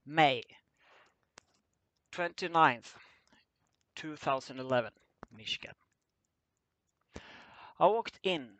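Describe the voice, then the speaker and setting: A man reading a scripted story aloud as an amateur narrator, halting and stumbling over his words. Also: a little high, fairly bright tone, dry recording. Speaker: amateur fan fiction reader.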